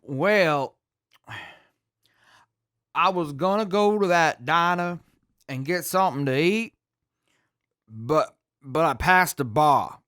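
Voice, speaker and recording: A man playing a drunk, sheepish, good-natured crewman in an audio drama, rambling haltingly with slurred speech. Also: fairly high pitch, a bit bright, smooth, dry recording, clean studio sound.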